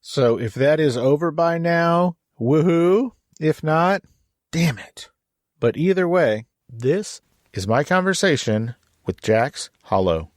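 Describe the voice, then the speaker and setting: A man's voice: fairly high pitch, expressive, takes it slowly. A man from Texas hosting a casual podcast interview, jokey and self-deprecating. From San Antonio, Texas. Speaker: podcast host.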